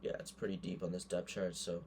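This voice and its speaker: Hoarse low-voiced man talking gameplay. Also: completely flat delivery, brisk, a little mellow, slight background noise. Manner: thinks aloud, muttering verdicts on players. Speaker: gaming creator. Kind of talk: casual gameplay commentary.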